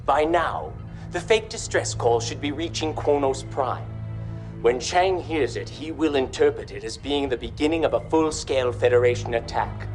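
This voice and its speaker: An actor playing a treacherous, gloating Klingon brigadier in a sci-fi game, with a menacing, theatrical delivery. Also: male voice, mid-range voice, normal speed, soft background music, natural voice. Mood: smug, menacing. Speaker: villain officer. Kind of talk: dramatic sci-fi game acting.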